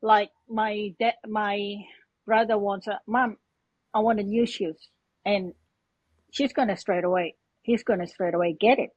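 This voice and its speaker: Husky Indonesian-accented woman, slightly muffled. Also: medium pitch, steady tone, darkish tone, dry recording. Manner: halting, non-native English phrasing. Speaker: podcast guest. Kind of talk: personal story told in conversation.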